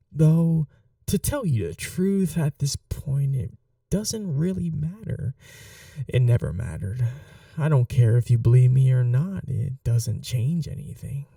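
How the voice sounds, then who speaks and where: A performer playing a polite, obsessive vampire lab technician in an ASMR roleplay, murmuring coaxingly with softly menacing courtesy and breathy half-whispers. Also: mid-range voice, dry recording, distant mic, exaggerated voice. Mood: cold, intent.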